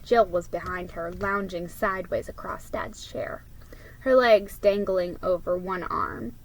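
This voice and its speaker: A woman reading aloud as an amateur storybook narrator, in a measured way with the odd stumble. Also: on the low side, fairly even intonation, poor audio. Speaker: amateur book reader. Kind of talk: casual introduction and storybook narration.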